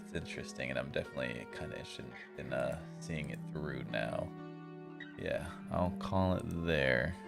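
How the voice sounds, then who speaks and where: Very deep, creaky male game streamer. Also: moderate pace, loud music behind the voice. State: tired. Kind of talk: live stream reading and casual commentary on a visual novel.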